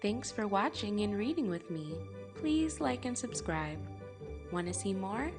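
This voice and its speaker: A woman reading a picture book aloud for young children as a storytime host, with measured, gentle phrasing and playful emphasis. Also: medium pitch, sing-song, music in the background.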